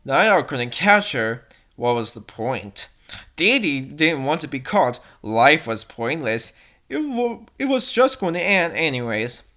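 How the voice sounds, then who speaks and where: A woman's voice: low voice, very animated, unhurried, a bit bright. A woman narrating bad fan fiction with theatrical, sarcastic delivery and commenting as she goes. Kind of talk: mocking dramatic fanfic reading.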